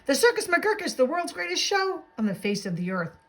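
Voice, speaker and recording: A woman reading a storybook as an eager, boastful young boy playing circus showman, proclaiming excitedly in rhyme. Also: high-pitched, a little fast, a little hiss or hum, pitched above the performer's own voice, full character voice. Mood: grand, excited.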